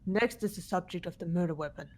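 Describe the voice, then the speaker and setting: A woman's voice: fairly low pitch, quick pace, close to an everyday voice. A woman voicing a composed, rational, formal student in an amateur video game dub.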